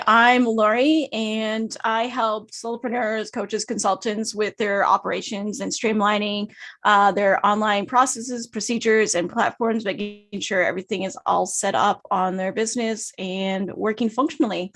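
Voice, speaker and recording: A woman's voice: medium pitch, fairly even intonation, moderate pace, a bit bright. Cheerful woman introducing herself. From Ottawa region, Canada. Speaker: operations consultant.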